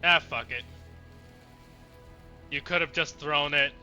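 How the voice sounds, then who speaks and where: A man's voice: on the high side, takes it slowly, sharp tone, lo-fi sound, faint music behind. A man doing casual let's play commentary, reacting with muttered asides and swearing, and reading dialogue options aloud.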